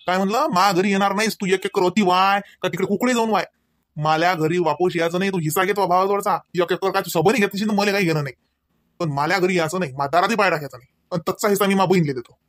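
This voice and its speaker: A man's voice: high voice, fast, fairly bright tone, no room sound, studio quality. A man playing a proud, angry village husband in a comedy cartoon, scolding in a Varhadi Marathi accent. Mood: stern, defiant. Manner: scolding, firing off rhetorical questions. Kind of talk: amateur comedy cartoon dialogue.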